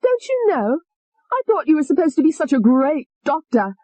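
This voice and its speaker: A woman's voice: high-pitched, sing-song, normal speed, dark tone, dry recording, pitched above the performer's own voice. A woman voicing a furious, vicious young woman alter who screams and taunts. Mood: taunting.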